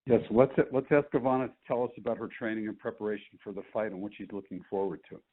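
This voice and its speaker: A man giving a promoter's press statement over the phone in a measured, emphatic way. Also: on the low side. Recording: narrow phone conference line.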